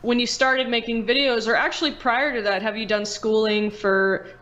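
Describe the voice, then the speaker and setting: A woman's voice: a little high, steady tone, moderate pace, a bit bright. A woman hosting a casual podcast, chatting informally and jumping in with questions and reactions. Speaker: podcast host, interviewer. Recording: slightly dull lo-fi audio.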